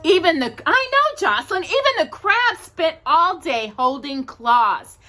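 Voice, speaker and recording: A woman hosting a children's storytime, reading a picture book with playful, drawn-out emphasis and chatty asides to a companion. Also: extremely high pitch, big pitch swings, pushed and bright. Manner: addresses a companion directly while reading.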